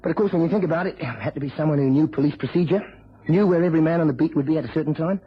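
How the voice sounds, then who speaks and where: An actor playing an earnest, anxious young police constable in a vintage radio drama. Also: male voice, on the high side, rapid-fire, slightly rough. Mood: bewildered. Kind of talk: 1950s-60s British radio drama acting. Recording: dull, hissy vintage radio recording.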